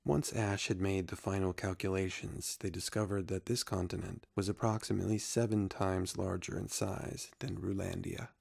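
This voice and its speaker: Male sci-fi narrator: even intonation, close dry sound, soft and solemn, unhurried. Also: low voice, gravelly, husky, dry recording. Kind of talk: scripted sci-fi story narration.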